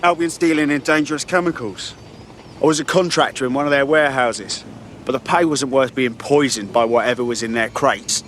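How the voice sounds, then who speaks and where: A man's voice: medium pitch, fairly quick, fairly bright tone, a little hiss or hum, natural voice. Actor as slangy British streetwise man. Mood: bitter, wary. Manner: colloquial, slangy. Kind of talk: video game cutscene acting.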